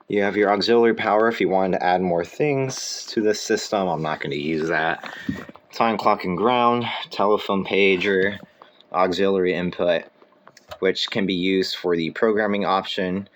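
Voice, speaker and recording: Low-voiced man giving a casual demo. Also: a little slow. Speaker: hobbyist presenter demonstrating equipment. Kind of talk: casual hands-on demonstration. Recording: clear background noise.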